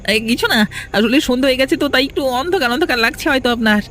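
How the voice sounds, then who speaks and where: A man's voice: very high-pitched, fast, bright, forceful tone, a little hiss or hum. A man voicing a pleading, evasive young village lover in a cartoon, whining and hesitant. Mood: nervous evasion. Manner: whining, hesitant, repeating himself.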